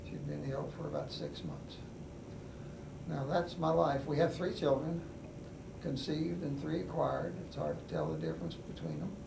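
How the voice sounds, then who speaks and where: An older man with a West Virginian accent, telling his life story in an oral history interview in an unhurried, halting way with many pauses. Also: a little high, very animated, creaky. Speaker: veteran interviewee. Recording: echoey room, mic at a distance, noisy.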